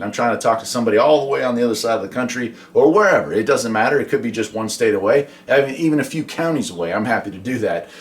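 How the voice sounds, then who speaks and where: An American man hosting a casual explainer about his radio hobby, talking in a folksy, conversational way with rhetorical questions. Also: medium pitch.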